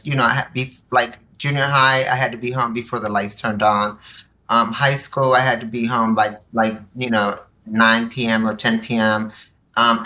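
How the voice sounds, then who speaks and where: A man speaking as a podcast guest, telling personal stories casually, acting out bits of dialogue and tossing in rhetorical questions. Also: medium pitch. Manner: animated retelling with quoted dialogue and rhetorical questions. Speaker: podcast guest, community activist.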